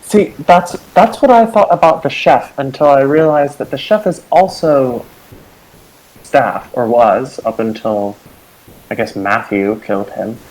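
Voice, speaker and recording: A man trading jokes at the table in a tabletop roleplaying game. He teases the other players in a dry, deadpan way, with a voice of average pitch. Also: unhurried, rough recording. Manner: dry, teasing.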